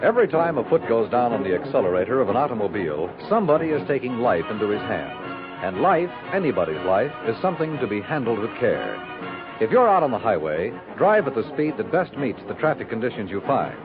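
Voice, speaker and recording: An American man reading scripted announcements in a formal, measured 1950s radio announcer style. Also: mid-range voice, big pitch swings. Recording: tinny 1950s radio recording with music.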